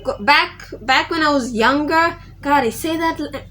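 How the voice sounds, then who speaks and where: A woman's voice: sits high, unhurried, fairly bright tone, slight background noise. A teenage girl talking casually to her viewers, rambling and often restarting her sentences. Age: teens. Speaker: vlogger. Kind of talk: casual vlog tribute.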